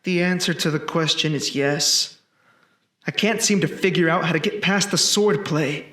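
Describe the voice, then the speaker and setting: An actor playing a commanding, cocky time traveler in an action sci-fi film, terse and insistent. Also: male voice, a little high, moderate pace, sharp tone. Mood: confident, knowing. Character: urgent male time-traveling protector from the future, commanding and cocky. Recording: roomy sound with music behind.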